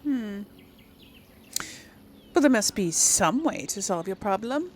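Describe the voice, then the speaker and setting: Female gaming host, slow-paced and lively in pitch, playful casual role-play commentary over faint ambience. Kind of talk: casual role-play game commentary, reading dialogue aloud. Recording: faint background noise.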